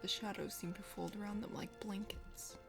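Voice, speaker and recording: A proud young hunter prince from a dating game, acted out by a woman, with gravelly creak and a half-whispered delivery. He is haughty yet bashful and halting, easily flustered by his crush. Music plays clearly behind the voice. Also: mid-range voice, fairly even intonation, lightly acted. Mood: wistful, soft. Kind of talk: ASMR voice acting of a dating game.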